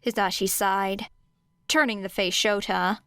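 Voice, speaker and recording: A woman reads a story as an audiobook narrator. Her delivery is slow and measured, with deliberate pauses. The tone is smooth, and the sound is close and dry. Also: medium pitch, steady tone, fairly bright tone, no echo. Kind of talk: scripted fiction narration.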